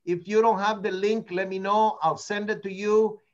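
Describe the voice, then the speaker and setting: A man's voice: sits high, level tone, normal speed, highs cut off. An older man, not a native English speaker, running a real estate training meeting in an opinionated, rambling way, repeating words as he goes. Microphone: meeting recording.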